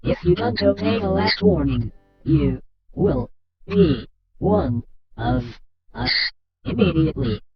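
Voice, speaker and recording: A man voicing a menacing, corrupted dark entity that issues threatening, commanding warnings in a horror emergency broadcast. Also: high-pitched, huge pitch swings, slow pace, vocal fry, long pauses, highs cut off, heavily acted.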